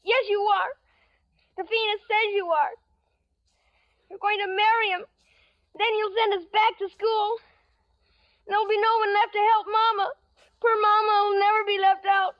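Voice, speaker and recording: A woman in 1940s radio acting, voicing a frightened young boy with a high, childlike voice. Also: flat delivery, moderate pace, long pauses, no echo. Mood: resentful. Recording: dull old radio broadcast.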